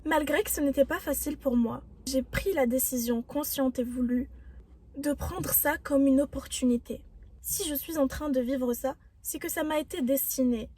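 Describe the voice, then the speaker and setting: A young woman with a high, soft and mellow voice talks straight to her viewers. She gives self-help advice in an earnest, reflective way. Her tone stays encouraging throughout. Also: normal speed. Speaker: vlogger. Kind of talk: motivational self-help talk.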